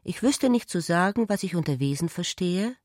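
A woman's voice: on the low side, lively intonation, moderate pace, a little mellow, no room sound. Woman narrating a literary radio play, very dry close sound, no room.

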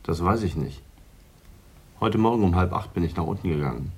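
Calm male witness, radio drama, low, husky, plain-spoken. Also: a little fast, full character voice. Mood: matter-of-fact.

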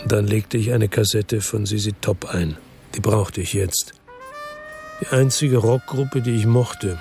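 An actor playing a fatalistic, resolute hard-boiled man who narrates his revenge tersely in a radio drama, with a gravelly voice. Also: lowish voice, unhurried, darkish tone. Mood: brooding, resolute. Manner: terse, brooding. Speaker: first-person narrator. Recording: music under the voice.

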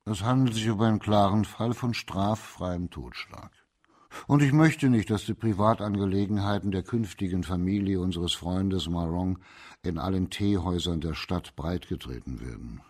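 An actor in a German radio play playing a shrewd, composed magistrate of old China who explains things deliberately and with authority. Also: male voice, low voice, darkish tone. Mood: calm, reflective.

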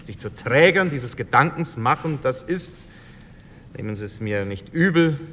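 An actor playing an indignant communist member of a postwar assembly, declaiming a biting speech. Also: male voice, lively intonation, a little slow, sharp tone. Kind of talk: re-enacted parliamentary speech. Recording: hall reverb, low rumble, faint noise.

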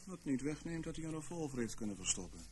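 A man's voice: a little high, animated, fast, noisy background, natural voice. A man acting in a Dutch radio play as a stern, sardonic intelligence chief, with clipped authority and dry sarcasm. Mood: guarded, worried. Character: stern, commanding spy chief, coolly sardonic official.

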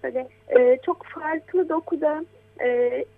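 An adult woman talking conversationally as a programme guest, encouraging and gently explaining things as if to a child. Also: sits high, unhurried. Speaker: guest commentator on children's media. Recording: tinny, phone-like narrow band.

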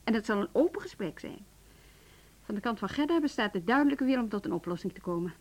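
An actress in a Dutch radio play, playing a brisk, no-nonsense woman in charge who speaks directly and bluntly, short on patience. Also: mid-range voice, expressive, lightly acted. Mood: irritated, firm.

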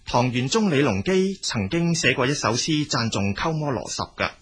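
A man with a Cantonese accent reading scripted documentary narration in a measured, explanatory storytelling manner. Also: medium pitch, very animated, fairly bright tone, husky. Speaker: narrator of a history and culture programme.

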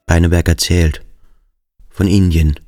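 An actor playing a brooding, introspective schoolboy thinking aloud in a radio drama, speaking in a halting, deliberate way. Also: male voice, low-pitched, flat delivery, mellow, no room sound. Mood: contemplative, observing. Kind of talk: radio drama interior monologue. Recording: studio with music behind. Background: instrumental music.

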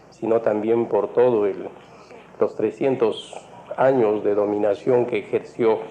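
A Peruvian-accented man with a fairly low, creaky voice explains matters formally as an expert, often pausing and hesitating. The recording is muffled, with some background noise. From Peru. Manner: halting, hesitant, formal wording. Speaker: economist being interviewed. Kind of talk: spoken explanation. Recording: muffled, noisy lo-fi interview recording.

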